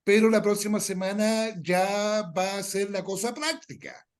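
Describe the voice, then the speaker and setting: A Chilean man with a notably high voice hosts an informal workshop. He repeats himself as he explains and often adds little tag questions to check that people follow. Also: measured pace. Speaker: workshop host.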